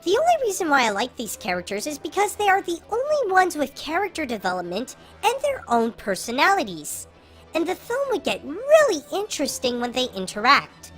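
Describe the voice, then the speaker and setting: An artificially pitch-shifted voice of a film reviewer giving opinionated scripted commentary with exasperated emphasis on key words. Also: huge pitch swings, brisk, fairly bright tone, faint music behind.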